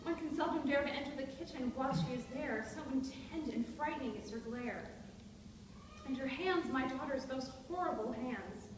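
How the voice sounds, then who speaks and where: A woman's voice: high voice, moderate pace, close to an everyday voice. A woman playing an anxious, melodramatic widowed stepmother with frail nerves in a dramatic stage reading of a period play, speaking in formal, ornate phrases. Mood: distressed, impassioned. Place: hall at a live staged reading. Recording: echoey noisy hall, distant microphone.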